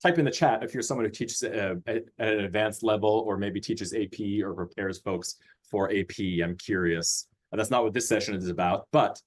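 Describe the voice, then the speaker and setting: A man's voice: on the low side, normal speed. A man who grew up in Austria presents a school curriculum over a video call, talking in a friendly way with frequent fillers and restarts. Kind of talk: webinar presentation.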